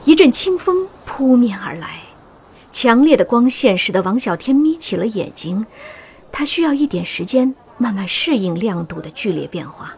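A woman's voice: on the high side, very animated, moderate pace, darkish tone, slightly rough. A woman delivering scripted narration for a radio drama as its storyteller.

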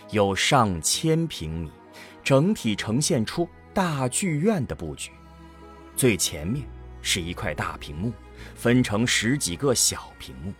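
A man giving dramatic scripted narration for a science-fiction audio drama. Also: on the low side.

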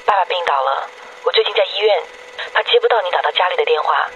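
A woman's voice: on the low side, fast, natural voice. A woman playing a devoted, wistful young girlfriend leaving affectionate, confiding voicemails in a radio drama. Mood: worried, pleading. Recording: dull noisy answering-machine message.

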